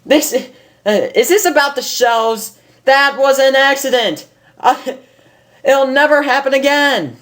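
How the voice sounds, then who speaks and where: A woman's voice: high-pitched, unhurried, pitched above the performer's own voice. A woman voicing a brash, impatient young female pegasus from a cartoon, blurting and hesitating as she goes. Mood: protesting.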